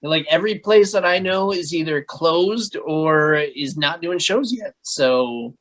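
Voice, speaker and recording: A podcast host chatting casually, talking in a hesitant, halting way with fillers. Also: mid-range voice, expressive, a bit bright. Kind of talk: casual chat.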